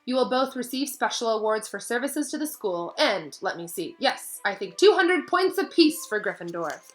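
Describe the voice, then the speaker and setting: Woman reading as a gentle, wise old wizard headmaster. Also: a little high, sing-song. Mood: wry, kindly. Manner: soft, thoughtful, measured. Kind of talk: audiobook-style character reading. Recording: music behind the voice.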